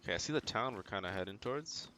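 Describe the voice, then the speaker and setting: A man's voice: lowish voice, animated, rapid-fire, pushed and bright, slight background noise. A man giving casual live commentary on a video game, thinking aloud as he decides what to do. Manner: talks through decisions as if thinking aloud.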